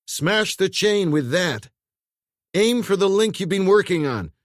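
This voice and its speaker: Guy doing a trapped man barking short calm orders, louder and a bit higher. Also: moderate pace, dry recording, studio quality, lightly acted. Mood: commanding. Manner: firm, clipped orders. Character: trapped man, calm and commanding under danger.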